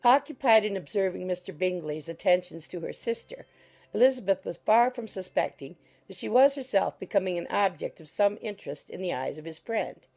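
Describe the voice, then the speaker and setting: A woman narrating a classic novel as a scripted audiobook, in a measured, formal storytelling manner. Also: fairly low pitch, lively intonation, vocal fry, darkish tone.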